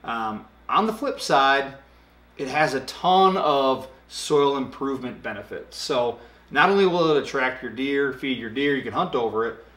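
A man in his thirties talks a product through in a relaxed, unhurried way, sprinkling in ums as he explains. His voice sits at an ordinary middle pitch, and the room adds a noticeable echo around it. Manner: relaxed explaining with hand gestures and filler ums. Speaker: product expert. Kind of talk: casual product talk. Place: dark studio set, indoors. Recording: roomy echo.

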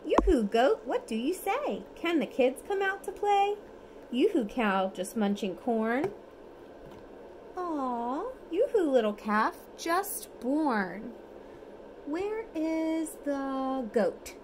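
A woman in her thirties reads a storybook to a small child. Her high voice swoops in a lively, sing-song lilt, and she takes her time, encouraging the listener. It is recorded on a phone at home, with a faint noise behind her. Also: slow pace. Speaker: mother reading a bedtime story. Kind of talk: read-aloud storytelling to a toddler. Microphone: phone at arm's length.

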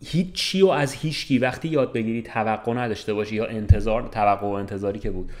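A man's voice: fairly low pitch, darkish tone. A man in casual podcast chat, explaining things colloquially and checking in with the listener often. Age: 20s. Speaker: podcast co-host. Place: small bright room with large window.

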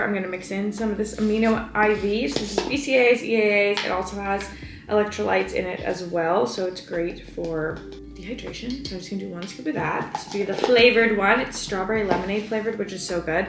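A woman's voice: medium pitch, steady tone, a little fast, background noise. Chatty young woman vlogging at home. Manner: chatty, upbeat, lots of "like" fillers. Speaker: fitness vlogger. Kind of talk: casual vlog chat to camera. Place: home kitchen and living room. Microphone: camera on counter, speaking from a few feet away. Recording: roomy apartment sound with music underneath.

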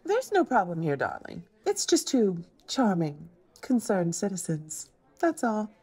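A woman's voice: mid-range voice, big pitch swings, measured pace, darkish tone, slightly rough. A woman playing a charming, placating wife in an audio drama.

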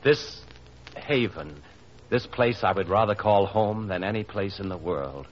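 A smooth, sinister uncle in a vintage radio drama. His low voice moves in lively swings, genial and theatrical. The old broadcast is muffled. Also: a little slow, slight background noise. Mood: genial, regretful. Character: charming, sinister uncle hiding murderous secret, smooth. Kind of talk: 1940s radio drama acting.

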